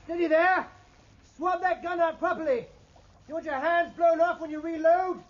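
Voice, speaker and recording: A man's voice: extremely high pitch, normal speed. A man playing a keen, resourceful young British naval officer in a radio drama, with a clipped, dutiful military manner. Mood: barking orders. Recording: muffled old radio recording, faint noise.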